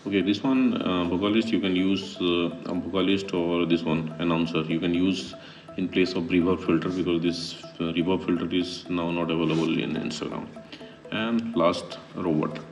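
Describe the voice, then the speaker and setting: A man's voice: low voice, a little mellow, distant mic, highs cut off. A man narrating a casual how-to tutorial as a vlogger. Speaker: vlogger giving a phone app tutorial.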